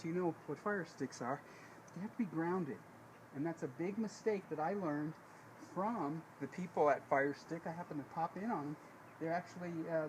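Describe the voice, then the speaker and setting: An American man giving a casual how-to demonstration as host of a DIY video, in a folksy, rambling way, often correcting himself. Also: fairly high pitch. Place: outdoors beside a vehicle. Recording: noisy on-location camera audio.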